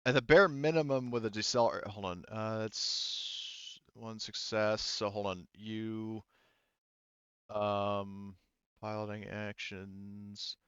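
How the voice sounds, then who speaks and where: A man running a tabletop game as game master, casually talking through rules in a halting, thinking-aloud way. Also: fairly low pitch, steady tone, fairly bright tone, clean tone, no echo, lo-fi sound.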